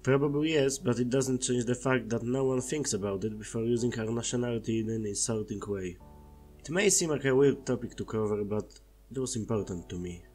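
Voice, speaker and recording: A man's voice: medium pitch, a little fast, darkish tone. A man with a Polish accent, a non-native English speaker, narrating a scripted video essay. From Poland. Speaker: video creator. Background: background music.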